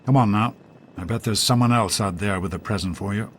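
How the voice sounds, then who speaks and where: Man as a low-voiced, caring father. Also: a little hiss or hum, lightly acted. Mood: concerned, reassuring. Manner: reassuring, measured, encouraging. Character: calm, caring middle-aged father. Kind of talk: video game acting.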